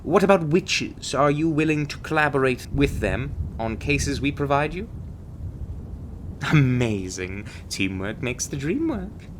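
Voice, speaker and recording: A man's voice: medium pitch, big pitch swings, unhurried, a little hiss or hum. A man playing a chirpy, breezily menacing recruiter in an ASMR roleplay, glibly cheerful about grim things. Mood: glib, upbeat. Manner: cheerful, glib delivery of grim threats.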